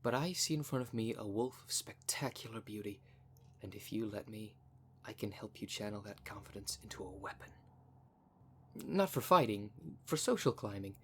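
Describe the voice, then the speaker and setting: Guy doing a snobby flirty werecat dandy for an asmr roleplay, lowish voice, pompous, noisy background. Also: lively intonation, moderate pace, a bit bright. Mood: coaxing. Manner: pompous, haughty, smooth-talking. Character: vain, flirtatious, snobbish male werecat socialite. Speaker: voice actor in audio roleplay. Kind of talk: scripted ASMR roleplay, addressed to the listener.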